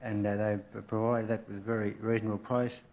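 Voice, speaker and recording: A man's voice: fairly low pitch, little pitch movement, a little fast, a little mellow, poor audio. A middle-aged Australian man answering questions as a quiz contestant, plain, polite and hesitant, on a worn old radio recording.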